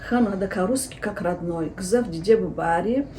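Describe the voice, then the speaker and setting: A woman teacher gives long interview answers in a mellow, warm voice, stopping often to search for words. Her tone is explanatory and patient. Faint noise hums behind her. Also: medium pitch, moderate pace. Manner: explanatory, hesitant with frequent fillers. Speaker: Lezgian language teacher and researcher.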